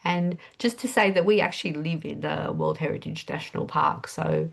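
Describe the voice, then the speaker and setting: A woman's voice: deep voice, moderate pace, gravelly. An older Australian woman with a cold, sounding nasal, presenting in a chatty way. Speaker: webinar speaker. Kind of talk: casual presentation.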